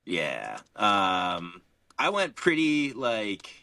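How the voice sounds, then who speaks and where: A man's voice: on the low side, vocal fry. A young man co-hosting a casual podcast, chatting easily and drawing out his picks with fillers. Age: young adult. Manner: relaxed, drawn-out picks with fillers. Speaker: podcast co-host.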